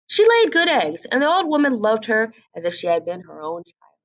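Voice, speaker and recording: A woman reading a fairy tale aloud as an expressive storybook narrator. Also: on the high side, normal speed, lo-fi sound.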